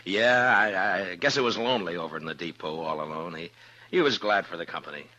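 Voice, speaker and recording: An American actor playing an easygoing, reflective frontier marshal who narrates in an old-time radio western. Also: male voice, deep voice, fairly quick, pushed and bright. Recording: muffled old radio recording, music behind.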